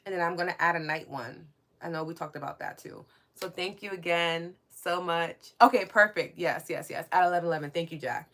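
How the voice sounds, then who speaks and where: New York woman, a bit low, casual live podcast host thanking listeners. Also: a little fast. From New York City. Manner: warm, chatty, reads out listener comments and thanks them. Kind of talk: live-stream spiritual podcast chat.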